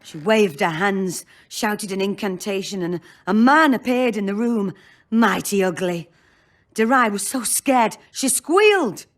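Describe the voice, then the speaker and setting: An actress playing a blunt, earthy, world-weary brothel madam in a fantasy video game, speaking in short bursts. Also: female voice, medium pitch, animated, measured pace, natural voice. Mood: blunt, defensive.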